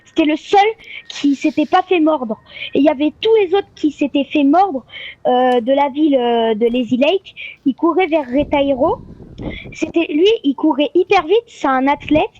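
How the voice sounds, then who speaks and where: A woman's voice: high voice, moderate pace, warm tone, highs cut off. A woman in a game roleplay, telling a rambling, chatty story in character.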